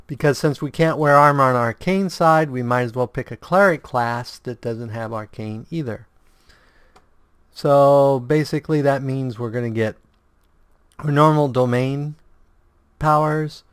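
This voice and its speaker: A man with a sore throat and strained voice hosting a casual game walkthrough, explaining choices in a halting way and correcting himself. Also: mid-range voice, smooth, dry recording. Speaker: video game guide host.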